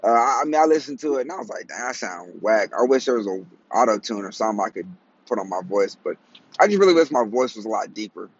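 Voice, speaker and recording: A man in his thirties hosting a casual solo podcast, with a high, raspy, cracky voice, talking in slang, rambling and laughing at his own jokes. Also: expressive, quick pace, dull audio. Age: 30s. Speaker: podcast host.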